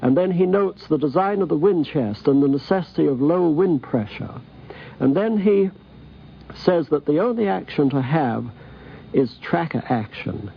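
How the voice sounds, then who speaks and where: A man with a gravelly, husky voice giving an explanatory talk on radio. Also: a little high, expressive, moderate pace. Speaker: commentator on organ building. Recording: dull 1950s radio recording.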